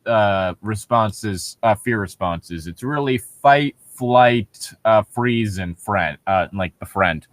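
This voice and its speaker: A man's voice: lowish voice, takes it slowly, few pauses, no room sound. A man reading a story aloud in a casual way, breaking off to quip about it.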